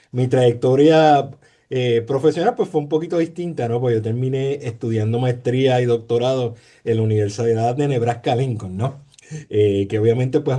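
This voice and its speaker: Hesitant Puerto Rican man, mellow voice. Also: medium pitch. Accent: Puerto Rican Spanish. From Puerto Rico. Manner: hesitant, many fillers and repeated words, tag questions. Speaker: sociologist guest. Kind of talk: conversational interview.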